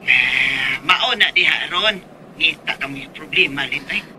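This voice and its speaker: A woman's voice: deep voice, unhurried, noisy background. A Filipino actress with a Cebuano accent playing an exasperated mother who nags and scolds her grown daughter in a radio drama. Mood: scolding. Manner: nagging, scolding.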